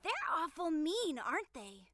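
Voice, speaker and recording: A woman's voice: sits high, very animated, measured pace, over music. A woman voicing a small, cheeky, indignant ghost child in an audio story, with a childish, scolding manner. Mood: sympathetic. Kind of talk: audio story character acting.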